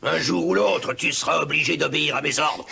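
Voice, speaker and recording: An actor playing a cruel, lovesick, gloating sorcerer in a children's cartoon, theatrically swinging between sugary wooing and menace. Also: male voice, on the high side, steady tone, fairly quick, vocal fry, pushed and bright, slight background noise, exaggerated voice. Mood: sugary, insistent. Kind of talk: dubbed children's cartoon acting.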